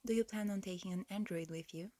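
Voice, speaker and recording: A woman voicing a polite alien examiner in human guise, whispering softly and hesitantly in an ASMR roleplay. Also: medium pitch, quick pace, slight background noise, close to an everyday voice. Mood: politely procedural. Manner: soft, hesitant, politely procedural.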